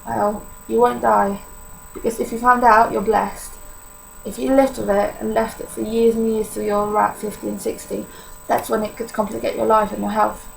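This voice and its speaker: A woman's voice: on the high side, little pitch movement, moderate pace, gravelly, slight background noise, poor audio. An eighteen-year-old woman from Poland with a Polish accent, talking candidly to camera in a personal vlog, with many fillers. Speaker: vlogger.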